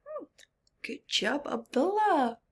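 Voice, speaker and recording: A woman reading a picture book aloud to young children, dramatic and expressive, with simple asides and questions to the listener. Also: sits high. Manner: slow, simple child-directed phrasing. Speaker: storyteller for children.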